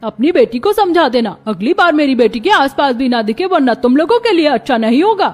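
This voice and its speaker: A woman's voice: sits high, sing-song, brisk, darkish tone. A woman playing a haughty rich mother in a cartoon story, scolding and contemptuous. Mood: scornful, threatening. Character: haughty rich mother, scornful of poor people.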